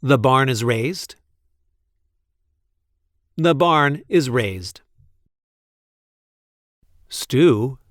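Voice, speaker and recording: Animated man reading aloud, slow and deliberate. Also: mid-range voice, dry recording, clean studio sound. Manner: deliberate, playful emphasis on repeated sentences. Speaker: read-aloud storyteller. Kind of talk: expressive picture book read-aloud.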